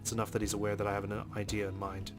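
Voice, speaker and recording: Male let's play reader for story-game narration: deepish voice, rapid delivery, music underneath. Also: monotone. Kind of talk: narration read aloud from a visual novel.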